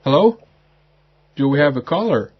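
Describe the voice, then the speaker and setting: A man hosting a radio talk show, halting and agreeable. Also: a little high, very animated, slightly rough, lo-fi sound. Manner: halting, many fillers and restarts, agreeable. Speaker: radio host. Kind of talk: hesitant radio interview chat.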